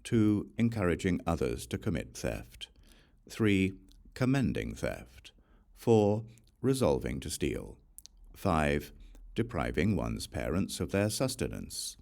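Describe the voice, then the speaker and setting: A man reading scripture aloud as an audiobook narrator, measured and deliberate, with pauses between phrases. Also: deep voice, big pitch swings, creaky, darkish tone. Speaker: audiobook reader.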